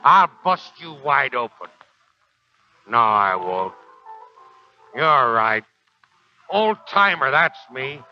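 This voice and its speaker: An actor playing a proud, embittered old Marine sergeant in a 1940s American radio drama, with gruff, slangy, uneducated speech. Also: male voice, medium pitch, sing-song, slow, pauses often. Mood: bitter, rambling. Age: older. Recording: dull 1940s radio recording, faint music.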